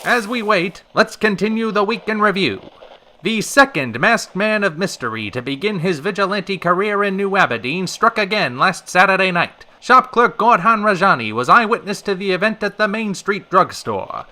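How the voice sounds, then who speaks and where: A man acts out a smooth-talking host of a pulp-city radio hour. His male voice sits high and swings in lively arcs, with a sharp, ringing edge. He is wordy and polished, with dry sarcasm under the showmanship. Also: normal speed, heavily acted. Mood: polished, wry. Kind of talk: old-time radio show hosting.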